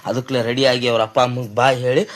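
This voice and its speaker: A man's voice: mid-range voice, little pitch movement, a little fast, a bit bright. A man with a North Karnataka accent narrating comic stories colloquially, acting out the dialogue as he goes. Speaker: comic storyteller. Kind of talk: animated-video comic story narration. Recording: faint background noise.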